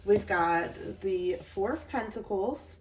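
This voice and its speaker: A woman's voice: mid-range voice, animated, takes it slowly, a little mellow. A young woman with a slight cold giving a casual, chatty tarot reading to camera, laughing at herself now and then. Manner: chatty, self-deprecating, laughs often. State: slightly sick with an itchy throat and chest cough. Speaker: tarot reader. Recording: roomy echo, mic at a distance, noisy.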